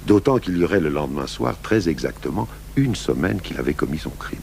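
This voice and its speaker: A patient, gruff middle-aged detective with an extremely low, gravelly voice. He speaks deliberately, probing and weighing things, in an old radio crime drama recording with faint hiss. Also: animated, exaggerated voice. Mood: reflective, grave. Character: calm, shrewd middle-aged male police commissioner, patient and gruff. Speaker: lead detective and first-person narrator. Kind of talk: 1980s French radio crime drama acting.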